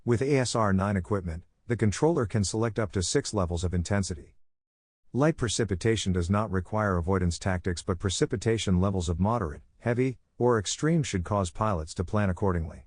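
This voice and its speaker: A man reading a technical handbook aloud as scripted audiobook narration, in a measured, instructional manner. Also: fairly low pitch, darkish tone, dry recording, clean studio sound.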